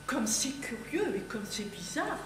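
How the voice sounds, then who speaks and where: A woman's voice: on the high side, very animated, a little slow. A woman playing a vague, wondering English lady. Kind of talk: amateur stage acting. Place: theatre stage before an audience. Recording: distant, echoey hall with audible noise.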